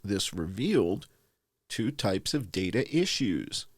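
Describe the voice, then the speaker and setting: A man reads a formal research report aloud in a fairly low voice. His intonation swings widely, with deliberate, emphatic stress. The sound is close and dry, free of room echo. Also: very animated, breathy edge. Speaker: host.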